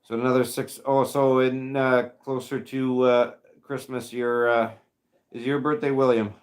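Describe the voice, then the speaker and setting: A man in his fifties hosting a casual livestream chat, rambling and halting with many fillers as he answers viewers. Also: mid-range voice, level tone. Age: 50s. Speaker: livestream host reading chat.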